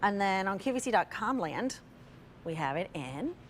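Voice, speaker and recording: A woman's voice: mid-range voice, very animated, moderate pace, slight background noise. A woman hosting a home shopping show, chatting informally and gushing as she presents products live.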